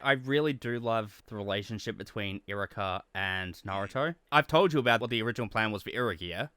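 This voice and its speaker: A man's voice: on the low side, fast talker, pushed and bright, smooth, dry recording, clean studio sound. A man hosting a casual online interview, talking in a chatty, enthusiastic way. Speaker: interview host and amateur voice actor.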